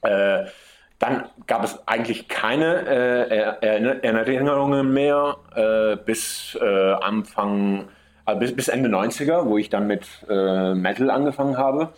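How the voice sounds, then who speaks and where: Italian man, a bit low-voiced, guest on a chat, searching for words with lots of fillers. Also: measured pace. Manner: hesitant, many fillers and restarts. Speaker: guest musician. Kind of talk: casual interview answer.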